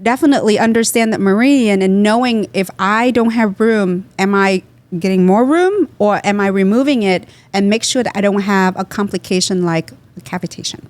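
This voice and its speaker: A woman's voice: medium pitch, moderate pace, hardly any pauses, darkish tone. A woman from Vietnam, a guest dentist, explaining her practice in a podcast interview with an earnest, teaching manner and loose grammar. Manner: explanatory, earnest, drops small grammar words.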